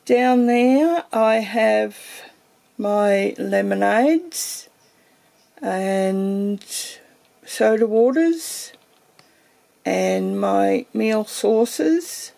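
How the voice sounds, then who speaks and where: Woman vlogger, slow and gravelly, mellow tone; casual home pantry tour, filmed handheld. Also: medium pitch, lively intonation. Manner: slow, meandering, thinks aloud with fillers. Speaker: homesteading vlogger.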